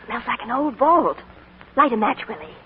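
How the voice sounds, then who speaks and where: A woman's voice: a little high, very animated, normal speed. An actress playing a spirited, curious young woman of twenty-three in a 1940s radio drama, teasing and affectionate. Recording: tinny, noisy 1940s radio broadcast.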